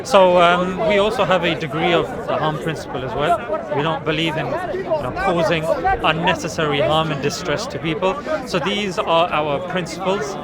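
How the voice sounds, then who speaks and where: An earnest man explains his views point by point, patient and steady, in a voice that sits a little high for a man. He speaks outdoors in a park, with a loud crowd chattering all around. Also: normal speed. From England. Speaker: street preacher debating visitors. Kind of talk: explanatory debate talk. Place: busy outdoor public park.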